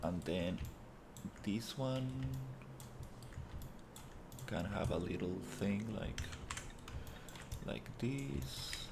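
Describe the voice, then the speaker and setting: Chill guy on stream, lowish voice, lots of uhs while he works. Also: expressive, drawn-out words, gravelly, pauses often, very noisy. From Costa Rica. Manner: laid-back, rambling, many fillers, muttering through his work. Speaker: live streamer. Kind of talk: casual chat while 3D modeling.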